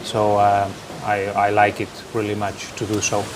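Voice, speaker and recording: Earnest coach. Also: male voice, on the low side, completely flat delivery, normal speed, a crowd in the background, poor audio. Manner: earnest, slightly broken English with repeated restarts. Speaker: national team assistant coach, guest. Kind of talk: interview answers. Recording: background noise from air conditioning.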